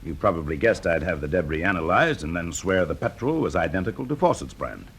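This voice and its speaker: Low-voiced, wry British investigator. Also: male voice, very animated. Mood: shrewd, accusing. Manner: brisk, urbane, interrogating. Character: confident, wry male fire investigator, shrewd and teasing. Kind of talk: British radio drama acting. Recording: noisy classic radio drama recording.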